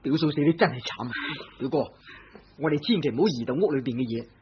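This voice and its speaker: An actor playing the husband's nervous cousin in a vintage radio drama, speaking in a hesitant, anxious way. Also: male voice, mid-range voice, animated, quick pace, close to an everyday voice. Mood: urgent. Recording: dull lo-fi old radio recording with faint hiss.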